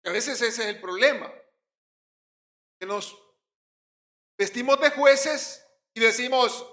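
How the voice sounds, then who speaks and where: Puerto rican pastor guy preaching hard, bright forceful voice, echoey church. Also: extremely high pitch, slow pace. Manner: emphatic preaching, swinging between loud stresses and quiet drops. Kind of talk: sermon.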